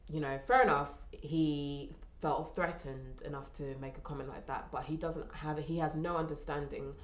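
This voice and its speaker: Woman, London accent, very low pitch, candid interview, echoey recording. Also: steady tone, moderate pace, a little hiss or hum. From Streatham, southwest London, England. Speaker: actor being interviewed. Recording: roomy echo.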